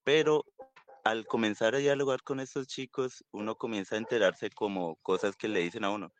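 A man, a university lecturer explaining his work in a radio interview in a conversational way, filling pauses with "pues". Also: on the low side, steady tone, a little hiss or hum.

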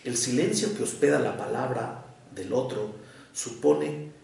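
A man from Mexico with a Mexico City and Jalisco accent, a psychoanalyst giving a measured, reflective lecture to an audience. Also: mid-range voice, lively intonation, gravelly, a little mellow, a little hiss or hum. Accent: Mexican, Mexico City mixed with Jalisco. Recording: echoey hall, voice from far off.

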